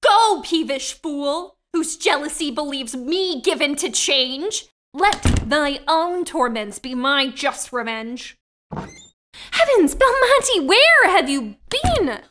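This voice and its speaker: Woman acting a very high, bright young lady. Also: sing-song, takes it slowly, a little hiss or hum, heavily acted. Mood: indignant. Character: high-born young lady lover, proud and dramatic. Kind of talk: theatrical period farce in an audio play.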